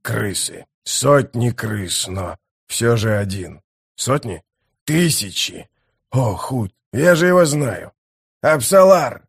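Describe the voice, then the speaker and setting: Man voicing a hoarse, gravelly wounded soldier. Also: mid-range voice, animated, takes it slowly, exaggerated voice. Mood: alarmed. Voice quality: hoarse, raspy. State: strained, in pain. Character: wounded male sapper soldier, gruff, sardonic, cursing. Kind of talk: audiobook character dialogue.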